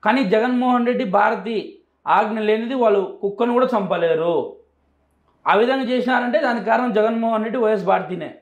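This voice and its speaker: Guy with rayalaseema telugu accent, pretty high voice, emphatic interview answers, echoey room. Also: brisk, lo-fi sound. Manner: emphatic, repeats phrases for emphasis. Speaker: interview guest. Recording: echoey, roomy sound.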